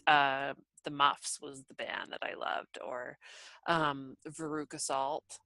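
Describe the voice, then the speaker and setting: A woman's voice: a bass voice, flat delivery, takes it slowly, sharp tone, no echo. A young woman hosting a podcast, talking animatedly with lots of "like" and the odd swear word. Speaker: podcast host. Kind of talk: casual chat.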